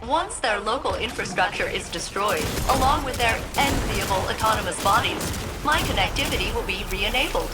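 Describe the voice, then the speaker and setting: A woman's voice: medium pitch, expressive, brisk, lightly acted. A woman voicing a cheerful, eager game ship computer with precise, formal technical phrasing. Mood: chipper, precise. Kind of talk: video game character dialogue. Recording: music behind the voice.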